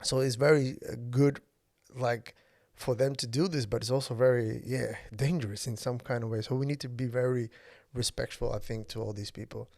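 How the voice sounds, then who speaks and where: A man in his thirties, from Ethiopia and a native Somali speaker, hosting a casual solo podcast and speaking haltingly with many fillers. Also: medium pitch, steady tone, darkish tone, no echo, clean studio sound. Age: 30s. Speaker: podcast host.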